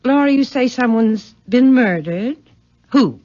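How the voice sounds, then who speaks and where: A woman's voice: on the high side, expressive, darkish tone. A woman playing a genteel middle-aged mother, formal and controlling, in a 1970s radio drama. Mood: composed, controlling. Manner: formal, measured, soothing yet condescending. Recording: dull vintage radio recording.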